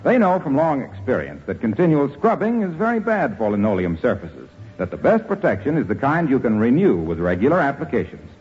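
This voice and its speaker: Lively male 1940s radio announcer. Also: medium pitch, brisk, few pauses, slightly rough. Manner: polished, emphatic salesmanship. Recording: dull 1940s radio recording, faint hiss.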